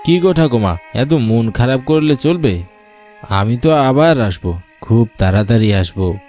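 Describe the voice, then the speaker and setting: A man's voice: medium pitch, expressive, a little slow, dark tone, soft background music, close to an everyday voice. A man voicing a put-upon but dutiful office worker and grandson in a story cartoon. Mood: affectionate. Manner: grumbling in monologue, affectionate to elder. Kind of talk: Bengali cartoon voice acting.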